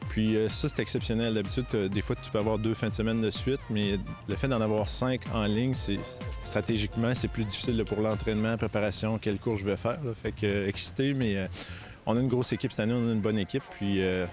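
A middle-aged man, a ski coach interviewed outdoors in a snowy park, relaxed and full of fillers. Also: fairly low pitch, quick pace, over music. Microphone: handheld reporter mic.

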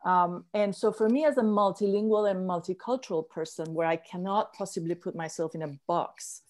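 A woman's voice: on the low side, a little fast, darkish tone. A woman panellist from Spain speaking on a video call from home, thinking aloud with many fillers and restarts. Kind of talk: online panel discussion. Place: home room.

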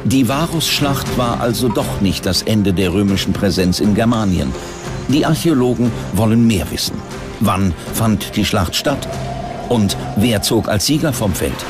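A man's voice: on the low side, animated, measured pace. A man reading scripted documentary narration as a narrator. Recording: music behind the voice. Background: background music.

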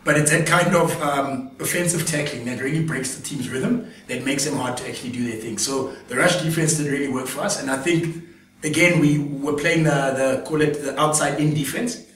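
A man on a sports panel, breaking down tactics in a measured, analytical way. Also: a little high, fairly bright tone. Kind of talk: casual studio sports analysis. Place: small studio room, indoors. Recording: roomy sound from a distance, faint noise.

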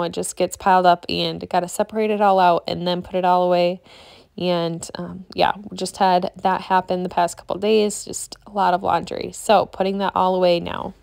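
A woman's voice: medium pitch, vocal fry, darkish tone. Chatty woman narrating a cleaning vlog. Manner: chatty, lots of ums and restarts. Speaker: cleaning vlogger. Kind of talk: casual voice-over narration.

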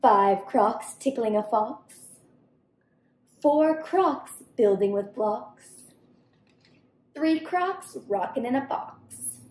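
A young woman reading a rhyming children's story aloud expressively and deliberately. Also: on the high side, a little mellow, slight background noise. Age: young adult. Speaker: storybook reader. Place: home kitchen-dining room, indoors. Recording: roomy indoor echo.

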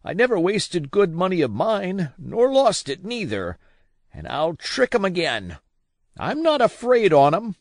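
A man's voice: high voice, a little slow, dry recording, clean studio sound, voice pushed up, heavily acted. A man playing a feeble, cursing old sea captain. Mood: agitated. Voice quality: feeble, wheedling. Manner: rough sailor talk, bursts of excitement. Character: weak, feverish old male sea captain, pleading and cursing.

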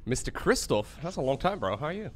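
A man's voice: mid-range voice, fast talker. A young man whose first language is Dutch, hosting a casual livestream chat from a studio mic in a small room at home. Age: young adult. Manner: lively, laughs often. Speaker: streamer host. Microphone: studio mic with headphones.

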